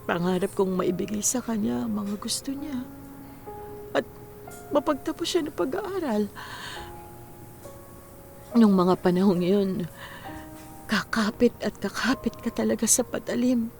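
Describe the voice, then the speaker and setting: A woman's voice: on the high side, lively intonation, unhurried, creaky, pauses often, a little mellow, faint music behind. A woman acting in a Filipino radio drama as an earnest, wistful widowed mother in her forties, weary after working overseas. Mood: earnest, pained.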